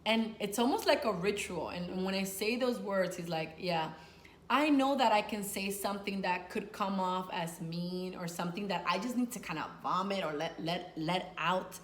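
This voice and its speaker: A woman's voice: medium pitch, normal speed. A Dominican woman from New York, a life coach, gives conversational, earnest advice to camera with informal phrasing and emphasis on key words. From Dominican, grew up in New York. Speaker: sex and life coach, video host. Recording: echoey room with faint noise.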